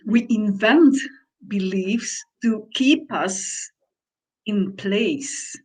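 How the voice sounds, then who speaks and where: A woman whose first language is Dutch, co-hosting a reflective conversation and searching slowly for her words. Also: medium pitch, low-quality recording. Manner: slow, searching, thoughtful phrasing. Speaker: podcast co-host.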